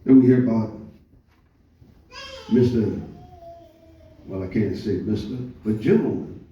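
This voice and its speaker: An older man with an American accent giving an oratorical public speech, with deliberate pauses and emphasis. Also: lowish voice. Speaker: speaker addressing a live audience. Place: large echoey room, speaking before an audience. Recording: echoey hall, distant muffled mic.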